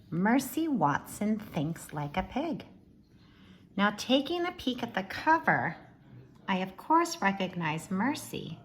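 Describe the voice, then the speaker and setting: Woman doing a kids read-aloud, slow n super expressive, some noise in the background. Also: mid-range voice. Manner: expressive, addressing young listeners. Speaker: teacher reading aloud to children. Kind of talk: animated storybook read-aloud with asides.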